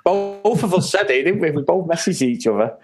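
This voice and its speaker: Animated man in casual film banter. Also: a little high, a little fast, music in the background. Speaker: film review podcast co-host. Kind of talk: casual conversational film discussion.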